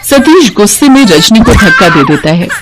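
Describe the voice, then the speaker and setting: A woman's voice: medium pitch, very animated, moderate pace, background noise. A woman narrating a scripted moral story as a storyteller.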